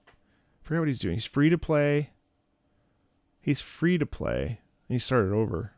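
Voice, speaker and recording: A male streamer chats off the cuff with his viewers. He rambles through his opinions, doubling back on phrases and restarting them. His voice sits at a middle pitch in a dry, echo-free home recording. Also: a little mellow. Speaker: streamer and content creator. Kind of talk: casual livestream chat with viewers. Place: indoors at home.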